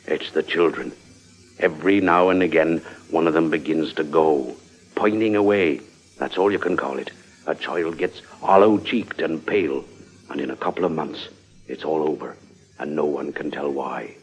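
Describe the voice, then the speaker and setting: An actor playing a gloomy, ominous village pub landlord, slow and folksy, in old radio drama style. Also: male voice, extremely low pitch, breathy edge, heavily acted. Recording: dull lo-fi old radio recording.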